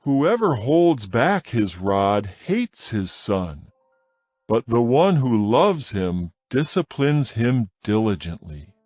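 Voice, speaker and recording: A man reading scripture in a slow, measured, expressive way. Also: mid-range voice, dry recording, studio quality, faint music behind. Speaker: scripture reader.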